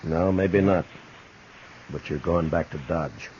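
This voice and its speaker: A man's voice: sits low, slow pace, a little mellow. An actor playing a terse, resolute American lawman in a 1950s radio western. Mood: grim. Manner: terse, commanding. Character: stern, resolute frontier US marshal, grown man. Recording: muffled, noisy 1950s radio recording.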